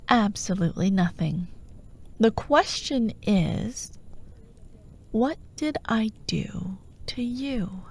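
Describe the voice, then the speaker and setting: A woman acts out a female dark warlord haunting a dream in a fantasy ASMR roleplay. Her voice is breathy and creaky, often sinking to a whisper. She speaks slowly, with sardonic, theatrical taunting. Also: mid-range voice, full character voice. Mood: menacing, smug. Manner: wry, taunting, theatrical, unhurried.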